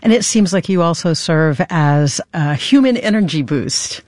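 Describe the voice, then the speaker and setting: A woman's voice: lowish voice, lively intonation, unhurried, a little mellow, dry recording. An American woman hosting public radio in a studio, moving between scripted narration and interview questions on a close mic. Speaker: radio host interviewing a guest. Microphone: close studio microphone.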